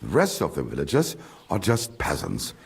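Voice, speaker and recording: A husky, animated German merchant. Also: male voice, rumbling low, normal speed, full character voice. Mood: gossipy. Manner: slips German phrases into speech, condescending. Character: grumbling male German village merchant, brusque and worldly. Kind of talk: video game character dialogue.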